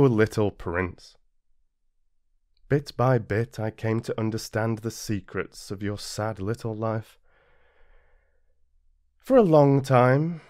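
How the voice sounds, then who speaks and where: A British man narrating a storybook as an audiobook, reading expressively and deliberately with dramatic pauses. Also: fairly low pitch, darkish tone, no room sound, studio quality. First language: English. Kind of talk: scripted storybook narration, read-along.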